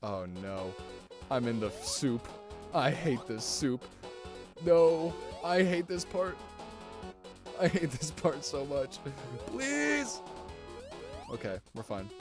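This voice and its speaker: A man streaming a video game, chatting casually with viewers in an excitable, rambling way and swearing often. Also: fairly high pitch, takes it slowly, pauses often. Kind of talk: casual gameplay commentary. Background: music.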